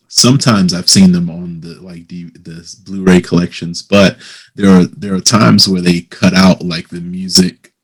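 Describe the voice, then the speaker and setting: Guy podcast interviewer, pretty low monotone voice, casual, hesitant and rambly, repeats himself. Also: husky. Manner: hesitant, rambling, repeats phrases as he builds a thought.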